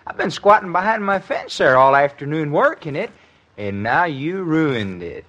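An actor playing a whiny, aggrieved young prankster from next door, complaining in 1950s radio comedy style. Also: male voice, on the high side, very animated, normal speed, a bit bright, highs cut off.

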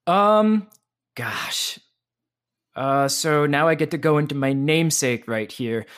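This is an enthusiastic man who teaches voice and acts. He talks in bursts of lively energy, often stops to rephrase himself, and sounds easy and conversational throughout. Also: a little high, takes it slowly, smooth. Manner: energetic and animated, with frequent restarts. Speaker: voice actor and voice teacher. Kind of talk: casual interview chat.

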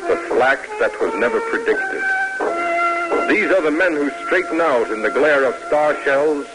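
A man's voice: on the high side, wildly swooping pitch, a little slow, low-quality recording. An American man narrating an old-time radio drama in a solemn, stately, oratorical way. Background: music.

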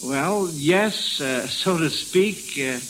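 A man's voice: a little high, lively intonation, takes it slowly. An American man hosting an old-time radio quiz in a folksy, hesitant, encouraging way. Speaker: quiz show host. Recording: hissy vintage radio broadcast recording.

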